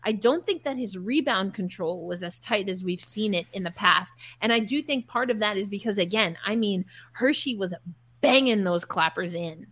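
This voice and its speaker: A woman's voice: medium pitch, normal speed, a bit bright. A woman hosting a sports podcast in a conversational style, chatty and upbeat.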